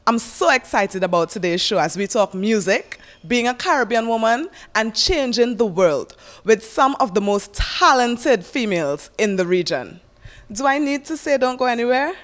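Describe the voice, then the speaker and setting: A woman's voice: a little high, animated, moderate pace. A woman hosting a television talk show, upbeat and bubbly as she introduces guests. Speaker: host.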